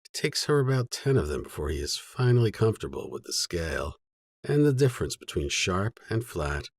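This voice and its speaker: A man reading a literary story as an audiobook narrator, in a measured, sober storytelling manner. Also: sits low, big pitch swings, breathy edge.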